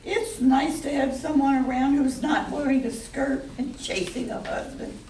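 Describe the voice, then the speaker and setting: A woman's voice: high voice, steady tone, measured pace, darkish tone. A woman playing a forward, curious barmaid in an amateur radio play. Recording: roomy, distant mic, faint hiss.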